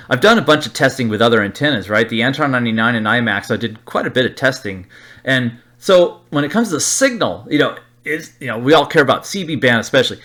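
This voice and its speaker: A middle-aged man with an American accent explains slides informally as a hobbyist, halting and repeating phrases for emphasis. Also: mid-range voice, a bit bright. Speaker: radio hobbyist presenting an antenna review.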